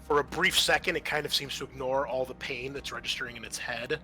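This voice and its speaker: Bright-voiced man running a horror roleplaying game. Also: mid-range voice, fairly even intonation, fast talker, faint music behind. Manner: vivid storytelling mixed with casual rules talk. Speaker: game master.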